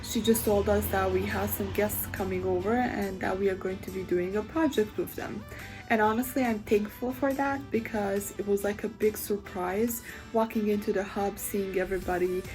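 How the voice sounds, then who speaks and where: A woman's voice: mid-range voice, fairly even intonation, normal speed, music in the background, rough recording. Chatty young woman. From Sarajevo, Bosnia and Herzegovina. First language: Bosnian. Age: young adult. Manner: casual, chatty, with frequent fillers and restarts. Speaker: university student. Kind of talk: reflective video monologue.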